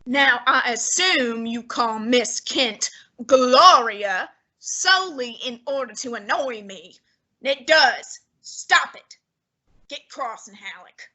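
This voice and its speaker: A fussy, heavyset older detective holds court in an old-time radio drama, played by a woman. He talks down to everyone in slow, high, bright tones, savoring each long word. His manner stays haughty and imperious throughout. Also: lively intonation, exaggerated voice. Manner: haughty, fussy, lofty diction.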